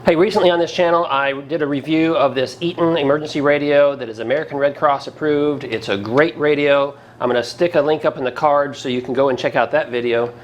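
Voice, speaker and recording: A man's voice: fairly high pitch, fairly even intonation, fairly quick, few pauses. A man hosting a casual product review, talking in a plain-spoken, folksy, conversational way. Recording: clearly noisy background ambience.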